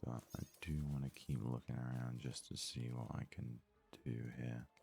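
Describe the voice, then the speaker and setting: A man giving soft-spoken ASMR game commentary in an unhurried, hesitant way, as if thinking aloud. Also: rumbling low, level tone, gravelly, faint music behind. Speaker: gaming video host.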